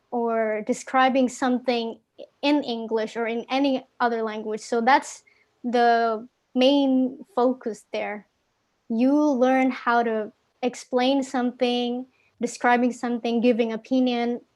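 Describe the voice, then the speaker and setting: A young woman from Indonesia with an Indonesian accent, giving casual tips in a live online English class, with many fillers and restarts. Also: on the high side. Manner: casual, filler-heavy, self-correcting. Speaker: guest English speaking tutor.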